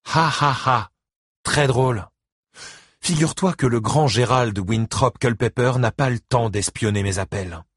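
A man's voice: medium pitch, measured pace, fairly bright tone, slightly rough, no room sound, clean studio sound, pitched above the performer's own voice. A man voicing a concerned, exasperated ex-wife and grandmother, speaking emotionally in an audiobook novel. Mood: sardonic, lively. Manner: exclaiming, emotional.

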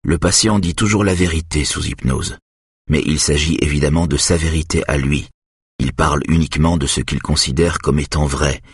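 A calm male hypnotist with a very deep, husky voice that stays soft and slow as a male narrator reads him. The voice sits close and dry, the way an audiobook reading does. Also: dry recording, full character voice. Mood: measured.

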